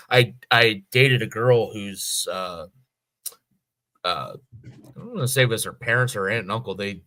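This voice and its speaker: A man's voice: fairly low pitch, sharp tone. A middle-aged American man chatting casually as a podcast co-host, rambling and swearing freely. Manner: rambling, profane. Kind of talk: casual chat.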